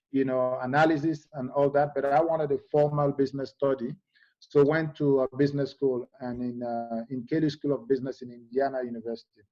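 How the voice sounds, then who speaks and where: A middle-aged man from Cameroon, a guest in a conversational interview, speaking in a halting way with many fillers and restarts. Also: mid-range voice, darkish tone, highs cut off.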